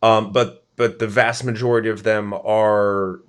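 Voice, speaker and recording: A man's voice: on the low side, flat delivery, unhurried. A man interviewing in a conversational way, phrasing his questions tentatively and in a roundabout manner.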